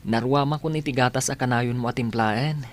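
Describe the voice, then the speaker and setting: Man acting as gentle young student, Ilocano accent. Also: medium pitch, unhurried, a little hiss or hum, natural voice. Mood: affectionate. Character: gentle, lonely young male student, grateful and soft-hearted. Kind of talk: Ilocano radio drama acting.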